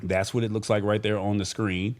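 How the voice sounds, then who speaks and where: A man's voice: fairly low pitch, little pitch movement, fairly quick. A man hosting a live-streamed talk show in an informal, conversational way, speaking directly to viewers. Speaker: show host.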